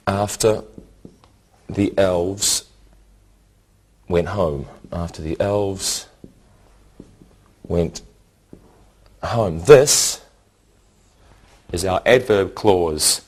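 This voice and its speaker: A man teaching English grammar in a scripted lesson, speaking in a deliberate, didactic way and setting out each point in short phrases. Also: on the low side.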